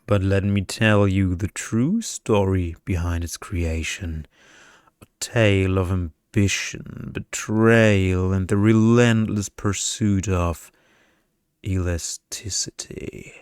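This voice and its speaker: Guy doing a boring pedantic energy vampire for asmr storybook, super slow pompous drone, dry sound. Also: deep voice, darkish tone, clear, resonant tone, no echo. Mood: droningly enthusiastic. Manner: droning, pompous lecturing. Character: tediously pedantic, seductive male energy vampire. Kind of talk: ASMR storybook character reading.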